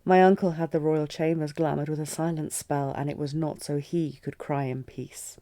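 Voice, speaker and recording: A woman plays a fantasy audio drama queen, regal and intense, holding deep torment under tight control. Her voice is dark and mellow, recorded very dry. She commands with restraint, as one in love and in pain. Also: steady tone, normal speed, dry recording, close to an everyday voice. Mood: tormented, confessing.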